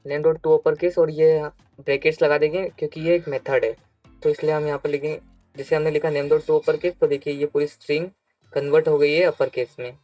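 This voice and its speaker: An Indian man gives step-by-step tutorial narration in a mid-pitched, fairly flat voice. He explains as an instructor would, repeating key phrases. The sound is dry and close, with faint music behind him. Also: normal speed, no echo. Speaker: programming instructor.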